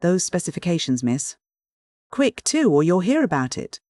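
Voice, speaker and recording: A man's voice: big pitch swings, moderate pace, darkish tone, no room sound, studio quality. A man voicing a cheeky, self-important seventeen-year-old Cockney office boy with a breaking voice, in a storybook reading. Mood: bossy. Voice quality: breaking voice, gruff bass to treble squeak.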